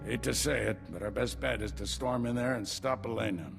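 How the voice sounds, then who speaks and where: An actor playing a wry, commanding older Jedi master in a sci-fi video game. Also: male voice, mid-range voice, fairly quick, vocal fry, music in the background, natural voice. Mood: grave, commanding. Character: gruff, wry older male Jedi master, protective mentor.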